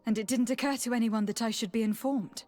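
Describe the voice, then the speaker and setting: A woman acting a determined noblewoman diplomat in a fantasy game cutscene. Her delivery is formal and measured, earnest and probing, with faint music underneath. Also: a little high, fairly even intonation, darkish tone, natural voice. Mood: questioning, insistent.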